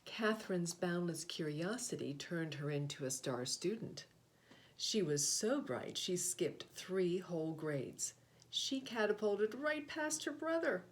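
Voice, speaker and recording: A woman reading a children's picture book aloud, with deliberate, expressive phrasing and short explanatory asides. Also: on the low side. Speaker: read-aloud host, storyteller.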